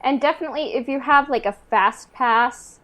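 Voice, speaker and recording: A woman's voice: high voice, moderate pace, fairly bright tone, highs cut off. A woman co-hosting a podcast, talking in a casual, conversational way. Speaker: podcast co-host. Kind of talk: casual chat.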